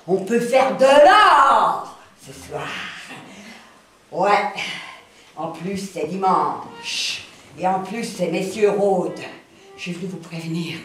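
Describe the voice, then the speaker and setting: A woman's voice: medium pitch, a bit bright. A woman playing a brash, streetwise Parisian fortune-teller, who talks in slang and calls out to the audience in a small café-theatre, filmed by a far camera. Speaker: cabaret performer. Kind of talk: live stage monologue and song. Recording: roomy café from far off, music.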